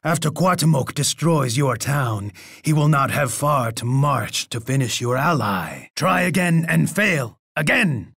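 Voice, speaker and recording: An actor playing a boastful, menacing Aztec emperor in a video game, with a gravelly, raspy voice and a pompous way of speaking. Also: male voice, fairly high pitch, expressive, a little slow, a bit bright, no room sound, studio quality, full character voice. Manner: pompous, speaks of himself in third person. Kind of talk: theatrical video game voice lines.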